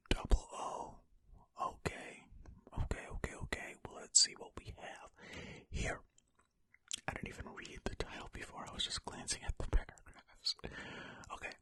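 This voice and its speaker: A fully whispered ASMR voice, breathy and unvoiced, with a bright, crisp edge. The host speaks right up close to the microphone, in a casual way suited to reading stories aloud. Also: extremely high pitch. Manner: casual asides between read-out passages. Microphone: close ear-to-ear ASMR microphone.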